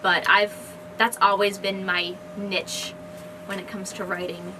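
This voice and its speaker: A woman's voice: mid-range voice, flat delivery, a little slow. Woman, mid-range voice, informal, enthusiastic, rambling author talk, noisy indoor recording. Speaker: fantasy author. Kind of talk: casual book discussion. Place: indoors in a bookstore. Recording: noisy room recording, background ambience.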